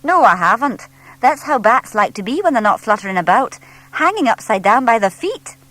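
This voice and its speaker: A woman's voice: on the high side, moderate pace, no room sound, voice pushed up, low-quality recording. A woman voicing a friendly young lady artist who explains things patiently and enthusiastically in a children's audiobook. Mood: explaining. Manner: animated, explaining gently to a small child.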